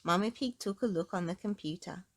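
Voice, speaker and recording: A woman reading a storybook aloud to children in an expressive narrating style. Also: mid-range voice, a little fast. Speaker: storybook reader.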